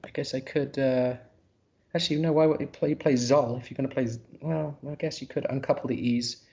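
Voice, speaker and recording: A man's voice: medium pitch, fairly even intonation, fairly quick, gravelly, warm tone, muffled recording. A man commentating a live board game, thinking aloud in a hesitant, musing way.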